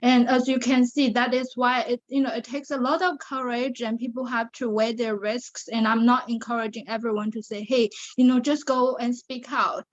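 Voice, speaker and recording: A woman's voice: a little high, level tone, normal speed, hardly any pauses, dull audio. A woman from China with a Chinese accent, speaking as a guest and survivor advocate in an interview. She talks earnestly, with many fillers and restarts. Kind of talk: interview on a video show.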